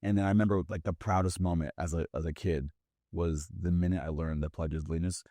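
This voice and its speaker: Deep-voiced guy from brazil, portuguese first language, chatty storytelling, lots of restarts, dry sound. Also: fast talker, dry recording. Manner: animated, with frequent restarts and "like". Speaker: guest, business owner. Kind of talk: casual interview storytelling.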